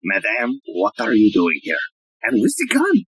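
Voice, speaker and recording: A woman playing a bumbling, excitable police inspector, a man, in a blustering, theatrical audio play style. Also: a bass voice, normal speed, breathy edge, no room sound, full character voice. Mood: indignant, baffled.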